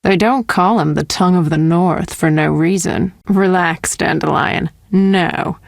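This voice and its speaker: An actress playing a streetwise escort in a fantasy video game, flirtatious yet tender, with a coy, sweet-talking manner. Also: female voice, fairly low pitch, unhurried, gravelly, no room sound, clean studio sound. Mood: flirtatious, teasing.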